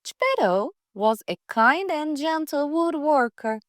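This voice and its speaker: A woman reading a scripted children's fairytale aloud for English learners, with careful, deliberate phrasing. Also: high-pitched, lively intonation, dry recording, clean studio sound. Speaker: storyteller.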